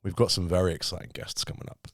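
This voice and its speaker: A man's voice: low voice, fairly even intonation, fast, gravelly, no room sound, studio quality. A young British man guesting on a podcast, wry and self-mocking in casual chat.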